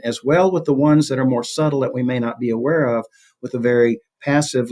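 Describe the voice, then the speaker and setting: A man's voice: mid-range voice, a little mellow. A man speaking as an academic interview guest, explaining conversationally and illustrating his points with examples. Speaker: guest, professor of communication.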